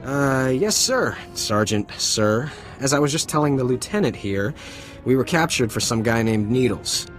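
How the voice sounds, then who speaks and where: A man's voice: mid-range voice, expressive, moderate pace, close to an everyday voice. A man playing a wisecracking young ensign. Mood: wry. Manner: sarcastic, jokey, stammers when scolded. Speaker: rescued junior officer. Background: faint music.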